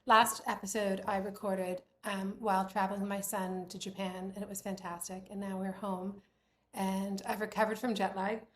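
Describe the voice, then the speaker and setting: A woman in her forties or fifties from Bermuda, hosting a knitting podcast in casual chat, her voice a little croaky and her talk rambling with lots of fillers. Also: mid-range voice, level tone, moderate pace, a bit bright, roomy sound. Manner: chatty, rambling with many fillers. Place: room at home with doors closed.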